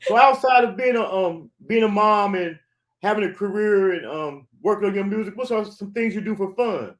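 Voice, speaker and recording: Male host, high-pitched, laid-back and casual with his questions. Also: normal speed. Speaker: interview host. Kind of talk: casual interview.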